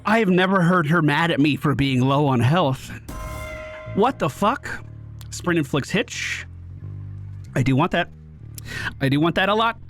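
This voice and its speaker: A man chatters through a gameplay session in a loose, casual way, swearing now and then. His pitch sits a little high and swings around a lot. Game music plays steadily behind him. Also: expressive, unhurried, long pauses, fairly bright tone. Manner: chatty, swears and laughs while playing. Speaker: gaming video creator.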